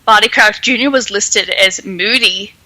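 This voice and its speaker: A woman podcast host chatting casually and speculating in an animated, giggly way. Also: mid-range voice, unhurried, no echo, rough recording. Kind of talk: casual chat, theorizing about a story.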